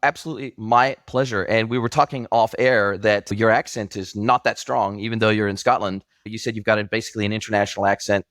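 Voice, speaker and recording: A man's voice: fairly low pitch, fairly even intonation, fast, hardly any pauses, a bit bright, no echo. A man in his forties with a mixed international accent, hosting a podcast interview in an effusive, enthusiastic way. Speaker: podcast host.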